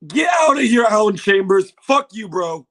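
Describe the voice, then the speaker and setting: Hoarse, excitable man on a phone stream. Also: high-pitched, normal speed. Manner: excitable, laughs often, swears. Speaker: livestream host. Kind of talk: casual livestream trailer reaction.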